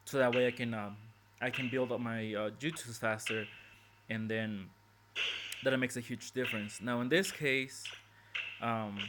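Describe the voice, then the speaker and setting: A man's voice: medium pitch, noisy background. A man casually talking through his game strategy as he plays, thinking aloud with hesitant restarts, recorded on his phone's microphone. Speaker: gameplay commentator. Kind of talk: casual game walkthrough commentary. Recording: phone mic with loud game music behind.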